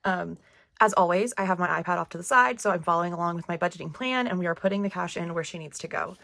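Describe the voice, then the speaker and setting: A woman's voice: fairly low pitch, fast talker, creaky, fairly bright tone, no echo. A woman in her thirties who is losing her voice, chatting upbeat to camera as a budgeting vlogger. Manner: chatty, upbeat, laughs often. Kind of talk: casual chat to camera.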